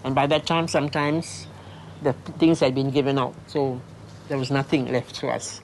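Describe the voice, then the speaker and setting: A man in his seventies from Singapore recalls childhood memories in a documentary interview. He speaks at an ordinary pitch, hesitating and restarting his phrases, with faint noise in the background. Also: flat delivery, moderate pace, poor audio. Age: 70s.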